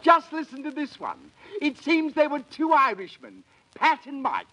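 An actor playing a pompous would-be comedian who tells corny puns with grand theatrical flair, in 1940s radio comedy style. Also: male voice, extremely high pitch, sing-song, normal speed, sharp tone, slight background noise, exaggerated voice. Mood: hammy.

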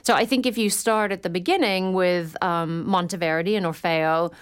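A woman with a smooth, resonant voice, pitched a little low, speaks as a guest on a radio call-in show. She talks in an articulate, thoughtful way and sounds enthusiastic. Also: fairly even intonation, moderate pace. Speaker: guest author.

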